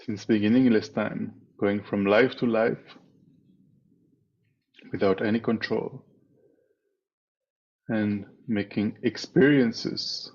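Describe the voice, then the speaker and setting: A man leading a guided meditation as a teacher, speaking in a calm, measured way with long pauses between phrases. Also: fairly low pitch. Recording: dull, muffled online meeting audio.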